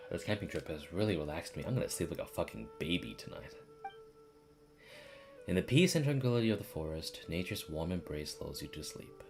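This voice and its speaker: A man streaming a game with casual, joking, excitable reactions and plenty of swearing. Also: sits low, normal speed, long pauses, music in the background. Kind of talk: casual reaction commentary over gameplay.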